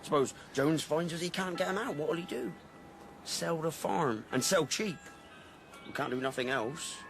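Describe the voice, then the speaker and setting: A man's voice: fairly high pitch, expressive, normal speed, gravelly, soft background music. A man playing a sly, cautious farmer with a rural English accent, confiding in a radio drama. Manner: rustic, confiding.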